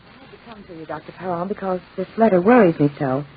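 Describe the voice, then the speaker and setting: A woman's voice: on the low side, quick pace, husky. An actress playing a skeptical young woman, a worried cousin, in a 1940s radio drama. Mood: worried, dismissive. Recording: muffled, tinny 1940s radio recording.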